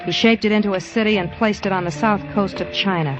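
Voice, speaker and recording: A woman acting a bitter, world-weary crime queen confessing in a vintage radio drama. Her brooding delivery sits over clear music, and the old recording is dull. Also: medium pitch, fairly even intonation, fast talker, close to an everyday voice. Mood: bitter, fatalistic. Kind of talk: 1950s radio drama narration.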